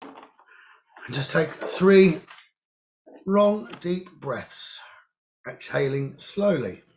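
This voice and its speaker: A man leading a guided meditation in a slow, soothing instructional style, speaking in measured, hushed short phrases with pauses. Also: on the high side, lively intonation, highs cut off.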